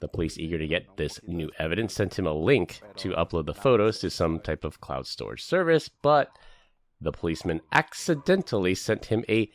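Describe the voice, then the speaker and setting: A male podcast host with a very deep voice that swings widely in pitch. He talks in a relaxed, jokey way, laughing often as he introduces guests and stories. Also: moderate pace. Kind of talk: casual podcast chat.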